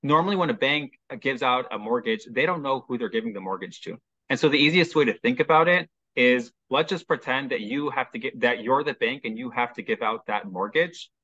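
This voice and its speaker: A man's voice: mid-range voice, animated, a little fast, sharp tone, no room sound, muffled recording. A man from Chicago with a Chicago American accent, a mortgage specialist guest explaining step by step with frequent ums. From Chicago, USA. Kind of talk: explanatory interview talk.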